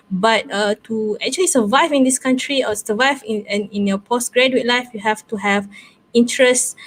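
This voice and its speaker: A woman's voice: medium pitch, poor audio. Earnest Malaysian woman, thoughtful answers. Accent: Malaysian English. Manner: thoughtful, earnest, many fillers. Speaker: PhD student guest. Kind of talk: interview answer. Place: small room, video call. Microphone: headset with desk mic.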